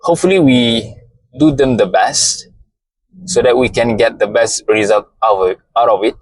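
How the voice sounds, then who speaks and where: A man with a Malaysian accent, a native Malay speaker, giving a slow, deliberate motivational talk and often repeating phrases. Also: medium pitch, dry recording, low-quality recording. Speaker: podcast host.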